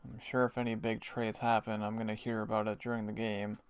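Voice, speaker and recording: A man's voice: fairly low pitch, level tone, a little fast, clear, resonant tone, no room sound. A Canadian man hosting a live hockey watch party, chatting casually, explaining the game and chuckling often. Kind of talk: casual live-stream chat.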